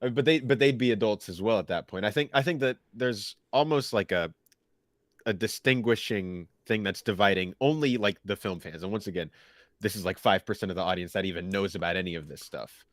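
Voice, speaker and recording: A man with a low voice shares opinions on a casual discussion show. He thinks aloud, somewhat haltingly, sorting his points into lists. The recording is dry. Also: no room sound. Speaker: co-host of a pop culture discussion show. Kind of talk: casual chat, laying out opinions.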